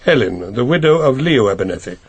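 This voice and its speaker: An actor playing a respectable, anxious older family solicitor in a radio drama, formal and precise with some hesitation. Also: male voice, mid-range voice, sing-song, fairly quick, breathy edge. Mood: explanatory, precise.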